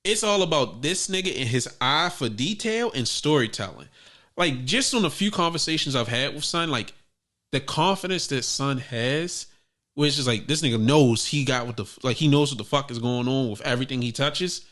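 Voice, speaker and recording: A bright, forward-sounding man co-hosting a casual podcast. His talk is profane and animated, and he jumps in loudly whenever he is joking. His voice sits at an ordinary pitch. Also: moderate pace. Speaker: podcast co-host. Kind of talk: casual chat.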